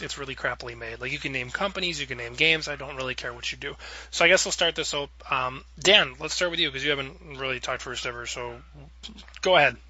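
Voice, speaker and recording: A man's voice: mid-range voice, sharp tone, low-quality recording. A man hosting a casual panel discussion, informal and wordy, rephrasing his questions with examples. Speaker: podcast host and moderator.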